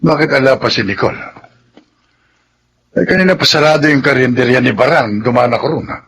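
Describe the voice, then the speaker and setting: A Filipino actor playing a concerned, protective family elder in a radio drama, questioning and admonishing. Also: male voice, medium pitch, brisk, a bit bright, slight background noise, lightly acted.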